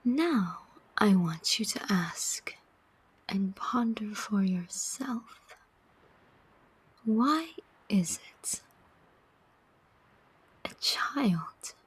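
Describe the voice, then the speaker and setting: Casting: woman to play female demon soul collector for ASMR roleplay. Very breathy whisper, extremely unhurried, sardonic, weary, teasing. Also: mid-range voice, heavily acted, rough recording. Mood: challenging, bitter. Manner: slow, deliberate, teasing, pausing often.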